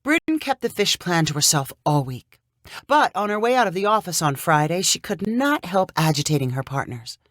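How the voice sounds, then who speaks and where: A woman narrating a scripted short story in an expressive, clearly paced storyteller's manner. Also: on the low side, normal speed, no room sound, studio quality.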